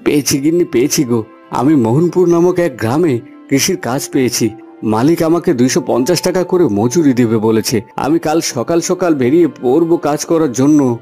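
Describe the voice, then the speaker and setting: An actor playing a poor, humble and weary village farmhand in a cartoon fairy tale, speaking earnestly. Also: male voice, a little high, normal speed, warm tone, soft background music, lightly acted. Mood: happy. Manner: earnest, pleading.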